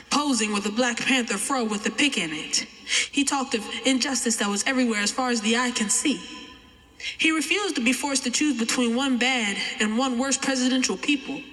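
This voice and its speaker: A twelve-year-old American boy performing spoken-word poetry with emphatic, deliberate oratory. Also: extremely high pitch, bright, forceful tone. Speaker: young poet reciting. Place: large chapel, live audience event. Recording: echoey large chapel, long reverb.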